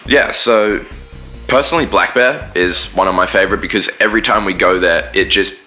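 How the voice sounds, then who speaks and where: Man, very low voice, bright edge, rambling answers. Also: a little fast, faint music behind. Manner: rambling, many fillers and restarts. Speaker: band singer and guitarist. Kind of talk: casual interview chat.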